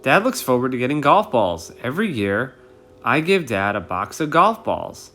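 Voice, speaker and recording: Guy doing a big sister in a kids story, sure of herself, animated pitch. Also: normal speed, faint music behind. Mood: confident. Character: confident older sister in a storybook.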